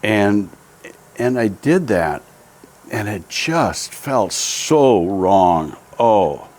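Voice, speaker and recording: A man's voice: fairly low pitch, very animated, extremely slow, darkish tone. A man in his seventies who grew up in Japan tells his life story in a reflective, hesitant way, with many ums and restarts.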